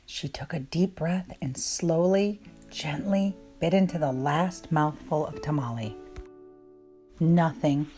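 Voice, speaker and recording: A woman narrating a children's storybook aloud in an expressive, measured way. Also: deep voice. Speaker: children's book reader. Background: plucked guitar music.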